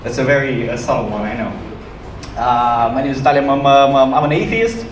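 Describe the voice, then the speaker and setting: A man from Ukraine performing stand-up comedy to a live audience with a dry, joking delivery and hesitant pauses. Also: medium pitch. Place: small comedy club stage, live audience. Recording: roomy, noisy club recording from a distance.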